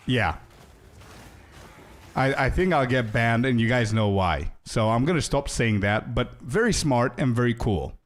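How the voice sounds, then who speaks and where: A young male game streamer talking casually to his chat. He is loose and chatty, drifts into side stories, and calls viewers "bro". His voice sits at an ordinary middle pitch. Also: lively intonation, measured pace.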